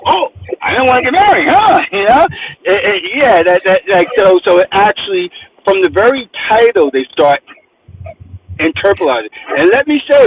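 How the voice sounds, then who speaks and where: A man from New Jersey talks about his book as an interview guest. His voice is high and swings widely in pitch, and he acts out other people's questions. The sound is a bit dull, with faint noise behind. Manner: emphatic storyteller, acts out quotes. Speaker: guest author. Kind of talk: animated interview talk.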